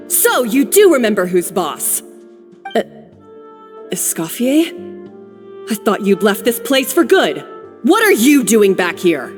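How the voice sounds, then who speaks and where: An actress playing a haughty, short-tempered head chef who talks in a sharp, bossy way. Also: female voice, mid-range voice, very animated, takes it slowly, long pauses, soft background music. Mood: defensive. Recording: reverb.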